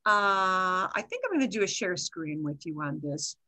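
An older woman in her sixties leads an online Spanish lesson through a headset mic. Her voice is bright and forward, and she moves easily between Spanish and English, explaining step by step with chatty little asides. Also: fairly low pitch, sing-song, moderate pace, slight background noise. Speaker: Spanish teacher. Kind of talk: online class teaching. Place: home video call.

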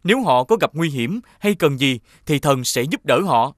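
An actor playing a brave, earnest young hero in an animated fairy tale, with a southern Vietnamese accent. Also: male voice, fairly high pitch, normal speed, dry recording, clean studio sound, natural voice. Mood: respectful.

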